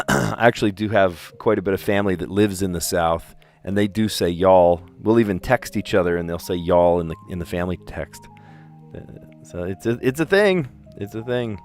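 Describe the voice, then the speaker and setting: A man's voice: low voice, normal speed, soft background music. A man from the US East Coast with a general American accent, hosting a puzzle livestream and chatting casually as he thinks aloud, with careful diction.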